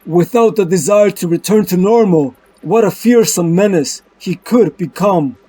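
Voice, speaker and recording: A man's voice: high-pitched, normal speed, dark tone, breathy edge. A man voicing Batman as a grave, driven detective hero in a solemn, melodramatic comic-book dub. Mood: grave, tense. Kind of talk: dramatic comic-book dub with inner monologue. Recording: music bed under the voice.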